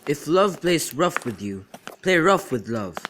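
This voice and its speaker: A young man in an amateur radio drama, playing a witty, teasing young gentleman and declaiming in a bantering way. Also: on the high side, big pitch swings, unhurried. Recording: clearly noisy amateur recording with clicks.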